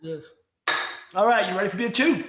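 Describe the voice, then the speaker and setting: A man's voice: sits high, expressive, normal speed, noisy background. A man co-hosting a podcast, chatting casually over beers in a rambling, jokey way that keeps interrupting itself. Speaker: podcast co-host. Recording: roomy echo.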